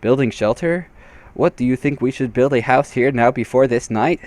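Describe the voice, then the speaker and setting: A man voicing an excitable, questioning male adventurer in a comic fantasy story reading. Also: medium pitch, moderate pace, dry recording, close to an everyday voice. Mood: puzzled. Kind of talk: comedic storybook character reading.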